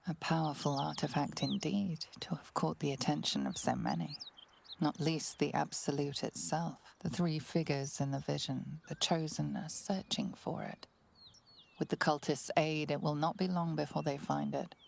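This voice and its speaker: An actress playing an ominous storyteller, narrating a video game in a hushed, measured, dramatic way. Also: female voice, low-pitched, gravelly, a little hiss or hum. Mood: grave, foreboding.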